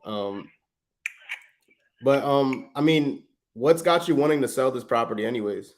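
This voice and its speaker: A man from Trinidad, hosting a livestream and making a relaxed, friendly sales call to a property owner, full of slang and quick restarts. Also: mid-range voice. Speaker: real estate investor, livestream host. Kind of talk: casual livestream chat and sales phone call.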